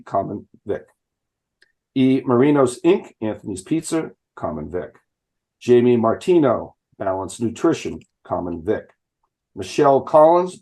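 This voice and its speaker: A man, reading a list of license renewals aloud at a town select board meeting, with some fumbles and restarts. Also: medium pitch. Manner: reads names in a flat list with fumbles and restarts. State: stuffed up from illness.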